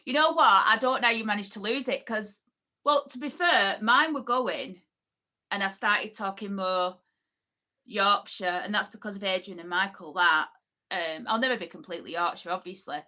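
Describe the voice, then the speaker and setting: A woman's voice: medium pitch, normal speed, pushed and bright. A woman with an Oldham accent, hosting a casual livestream and rambling chattily while reading viewers' comments. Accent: Oldham, northern English. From England. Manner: chatty, rambling, many ums and asides. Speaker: livestream host.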